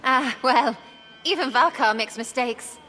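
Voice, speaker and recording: Gracious curious lady from a game cutscene, a bit high pitched, reverby, faint music. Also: a little slow, bright, forceful tone. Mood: friendly, curious. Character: gracious, inquisitive female wedding guest. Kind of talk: video game cutscene acting.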